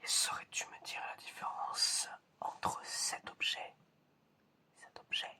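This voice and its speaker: Very slow, whispering ASMR roleplayer. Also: sharp tone. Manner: slow, hesitant, repeats phrases. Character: eccentric examiner testing whether the listener is human. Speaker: ASMR performer.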